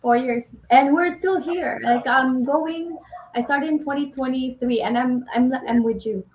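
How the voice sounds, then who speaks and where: A woman's voice: sits high, unhurried, a little mellow, reverberant, rough recording. A woman hosting a casual live-stream chat, chatty, laughing often and restarting her sentences.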